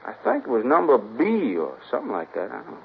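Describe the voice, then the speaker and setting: A man's voice: mid-range voice, huge pitch swings, fast, full character voice. A man playing a nervous, evasive young suspect from New Orleans, speaking with a hesitant Southern drawl in a 1950s radio drama. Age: young adult. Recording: old lo-fi radio broadcast, husky.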